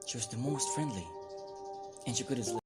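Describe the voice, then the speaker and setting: A man's voice: medium pitch, normal speed, lightly acted. A man playing an earnest, uneasy student giving his account in an amateur radio drama. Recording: music behind the voice.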